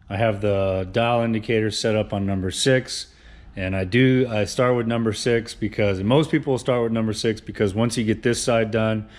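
A man's voice: lowish voice, a little mellow. A man in his thirties explaining engine work in a casual workshop tutorial, plain-spoken with frequent uhs and restarts, recorded in a home garage. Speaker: engine builder explaining cam timing. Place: home garage with cars and a car lift. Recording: echoey garage.

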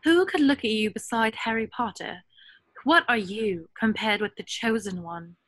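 A woman's voice: fairly high pitch, normal speed, fairly bright tone. A woman playing a cruel, taunting ghost of a young woman, scornful, at a table read. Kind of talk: amateur screenplay table read.

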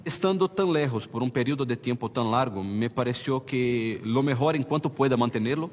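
A man from Brazil, an inmate, speaking in a measured, articulate way. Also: mid-range voice, in a large hall, a little hiss or hum.

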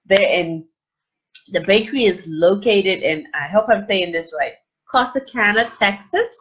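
Gushing middle-aged woman, husky webcam audio. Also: medium pitch, unhurried, lo-fi sound. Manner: gushing and animated, frequent exclamations and fillers. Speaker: livestream host. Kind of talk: casual live unboxing chat. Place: small indoor room with plain wall. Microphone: webcam at desk distance.

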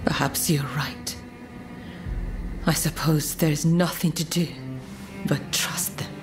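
An actress playing a refined, troubled Japanese woman in a video game, speaking formally and hesitantly with a soft delivery. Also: lowish voice. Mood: anxious. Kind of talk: dramatic video game acting. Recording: music behind the voice.

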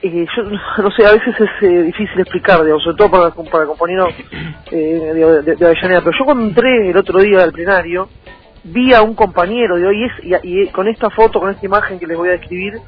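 High-voiced Argentine man on phone-like line. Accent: Argentine Rioplatense. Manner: halting, repetitive, circling back to rephrase. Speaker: interview guest, local political candidate. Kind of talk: conversational political interview. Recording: thin phone-like line, music behind.

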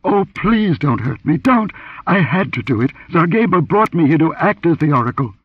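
A man's voice: on the low side, expressive, fairly quick, husky, no room sound. A man voicing a terrified young dancing girl who pleads tearfully and breathlessly in a pulp adventure story. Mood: pleading, terrified. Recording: dull lo-fi audio.

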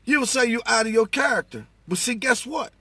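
A middle-aged American man with a raspy voice, giving impassioned, casual testimony about faith in a preacher-like way, inside a vehicle. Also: very high-pitched, normal speed. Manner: animated, preacher-like, repeats phrases for emphasis.

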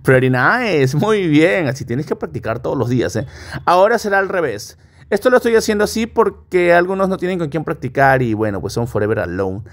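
A man from Peru with a Peruvian Spanish accent, a native Spanish speaker teaching English step by step and modelling phrases deliberately for repetition. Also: on the high side, big pitch swings. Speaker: English teacher, online course host. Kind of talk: step-by-step language lesson with repetition drills.

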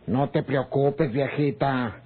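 Sly, slow-talking Mexican ranch rogue, muffled. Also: male voice, mid-range voice, slightly rough. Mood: coaxing. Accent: rural northern Mexican. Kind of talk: old-time radio drama acting. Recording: muffled, noisy old radio recording.

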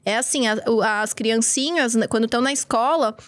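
A woman's voice: a little high, moderate pace, fairly bright tone, no room sound. A young woman with a São Paulo Brazilian accent, talking frankly and casually as a podcast host, close on a studio mic. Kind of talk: casual conversation. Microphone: close studio mic on boom arm.

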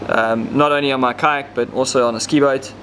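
A man's voice: medium pitch, steady tone, brisk, fairly bright tone, a little hiss or hum. A man, casually explaining step by step how to rig fishing gear, with plenty of ums. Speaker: fishing tackle demonstrator. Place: indoor tackle shop counter.

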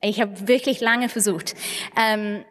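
A woman from England speaking non-native German with an English accent, haltingly searching for words as she gives an anecdotal conference talk. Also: medium pitch, fairly even intonation, fairly bright tone. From England (Manchester). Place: large conference hall on stage, indoors. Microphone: podium microphones. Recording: echoey hall with long reverb.

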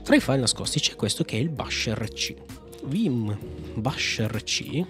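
A man talks through a software tutorial at a middling pitch. He rambles and thinks aloud, poking fun at himself as he goes. Faint music plays behind him. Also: sing-song, measured pace. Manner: jokey, rambling, self-mocking, thinks aloud. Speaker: tech YouTuber presenting a software tutorial. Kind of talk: casual screencast tutorial.

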